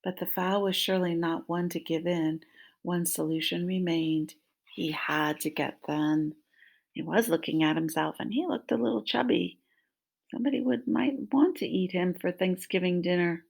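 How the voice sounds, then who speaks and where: A woman's voice: on the low side, moderate pace, vocal fry. A woman in her fifties reading a picture book aloud to young children, prompting them with questions, recorded on a laptop in a small room at home. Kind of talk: interactive children's story read-aloud. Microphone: laptop microphone at arm's length.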